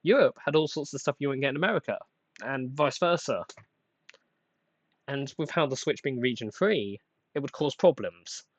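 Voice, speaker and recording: A young man hosting a casual solo podcast, rambling and self-deprecating with many hesitations, a plain somewhat flat voice, getting over a cold. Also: mid-range voice, normal speed, pauses often, highs cut off. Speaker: podcast host.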